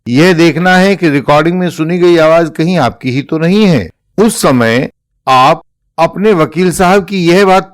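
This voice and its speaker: A man with an Indian accent giving an explanatory legal lecture in a measured, formal manner. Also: fairly high pitch. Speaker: presenter explaining court judgments.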